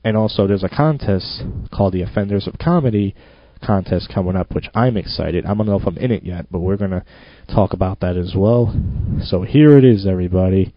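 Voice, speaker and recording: Low-voiced man hosting a podcast. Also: fairly quick, no echo. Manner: rambling, self-mocking, loose. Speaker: podcast host. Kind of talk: casual podcast monologue. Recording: dull lo-fi home recording.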